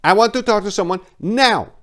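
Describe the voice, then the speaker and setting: A man's voice: sits high, brisk, fairly bright tone, voice pushed up. A man voicing a distressed, insistent young woman in a crime story, urgent and frustrated. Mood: desperate.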